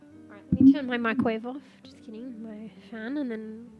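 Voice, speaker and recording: Woman streamer, stuffy nose, high voice, faint music behind. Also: steady tone, moderate pace, highs cut off. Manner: rambling, laughs and swears, reads search results aloud. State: congested, losing her voice. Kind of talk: casual live-stream chat.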